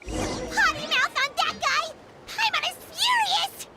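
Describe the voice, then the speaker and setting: A woman voicing a small, childlike fairy companion in a fantasy game, girlish, excitable, feisty and easily offended. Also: slow pace, over music, exaggerated voice. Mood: annoyed, offended. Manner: squeaky, exclamatory, feisty. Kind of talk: cartoonish fantasy game acting.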